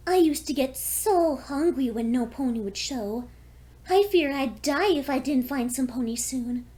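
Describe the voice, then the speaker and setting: A woman's voice: sits high, lively intonation, measured pace, dark tone, pitched above the performer's own voice. A woman voicing a seductive, lonely vampire pony with a courteous, teasing manner in a fan fiction audio story. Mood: coolly predatory.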